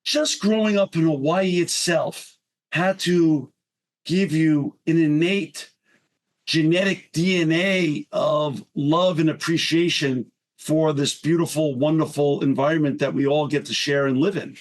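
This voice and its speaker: A man hosting a podcast interview, upbeat and quick, sometimes stumbling over his words. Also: fairly high pitch, steady tone. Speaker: podcast host. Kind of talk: podcast interview and read sponsor spots.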